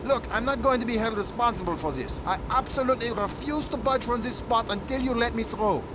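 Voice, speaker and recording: A man's voice: very high-pitched, brisk, background noise. A man voicing a stubborn, exasperated wartime delivery driver in a video game, indignant and pleading.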